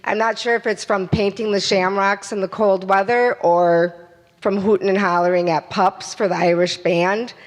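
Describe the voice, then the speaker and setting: A woman's voice: mid-range voice, fairly even intonation, normal speed, long reverb tail. A woman emceeing a community stage event, talking through a voice she says she is losing. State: losing her voice. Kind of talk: stage announcements.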